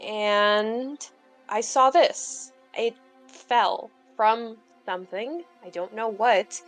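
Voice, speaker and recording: A woman's voice: a little high, fairly even intonation, extremely slow, soft background music. A woman playing a tabletop roleplaying game, improvising casually and thinking aloud in a hesitant, informal way.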